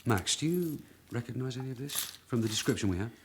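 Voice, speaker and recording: A man acting a seasoned, hard-nosed London police sergeant in a radio crime drama. He is dry and quietly commanding, with lively shifts of pitch in a mid-range voice, over a faint hum. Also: fast, natural voice. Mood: firm, official. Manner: matter-of-fact, dry, quietly authoritative. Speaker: actor in the lead role.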